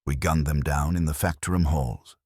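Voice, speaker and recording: A man narrating as a very deep-voiced, hollow warrior nun. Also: fairly even intonation, moderate pace, a little mellow, slightly rough, no room sound, studio quality. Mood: bleak, detached. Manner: detached, solemn, clipped sentences. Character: hollow, faithless warrior nun recounting her grim life. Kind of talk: scripted first-person dramatic narration.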